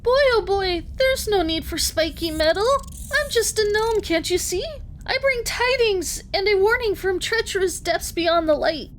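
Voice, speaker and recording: A woman's voice: very high voice, lively intonation, normal speed, a little hiss or hum. A woman playing a small, plucky young gnome adventurer with a theatrical, sing-song delivery in a fantasy audio story. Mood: plucky, defiant.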